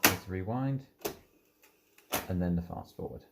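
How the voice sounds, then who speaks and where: A man's voice: low voice, expressive, a little slow, gravelly, background noise. A man giving an informal hands-on product walkthrough, explaining things unhurriedly, step by step. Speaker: presenter demonstrating audio equipment.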